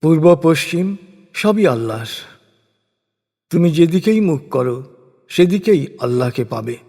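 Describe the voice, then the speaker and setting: A man narrating translated scripture in a solemn, measured, formal way, phrase by phrase with falling endings. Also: a little high, lively intonation, creaky, a little mellow. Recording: long reverberant hall effect.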